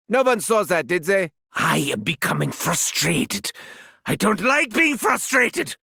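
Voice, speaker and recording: An unhinged German mad scientist from a video game, with a sharp, forceful voice that leaps wildly between muttering and shrieking. He is theatrical, pompous and gleefully cruel, his thick vanity carried in a German accent with a gravelly crackle at the edges. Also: high-pitched, no echo, studio quality, full character voice. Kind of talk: scripted video game voice lines.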